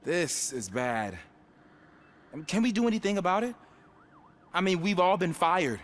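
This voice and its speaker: An anxious young rookie cop from a game. His voice is a little high and moves around a lot, as he tries to be helpful. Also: lively intonation, measured pace. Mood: worried. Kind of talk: video game cutscene acting.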